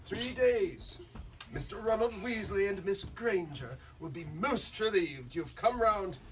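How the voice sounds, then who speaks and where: Casting: twinkling old headmaster wizard male actor, high-pitched, very lively intonation, genteel, heard from a distant mic. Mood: amused. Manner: genteel, amused, deliberate. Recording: distant mic, faint room noise.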